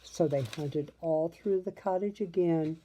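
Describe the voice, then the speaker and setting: A woman reads a children's storybook at an unhurried pace. Her voice is very mellow and a little low, expressive in narration, with asides to the listener. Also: a little hiss or hum, rough recording. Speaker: storybook reader.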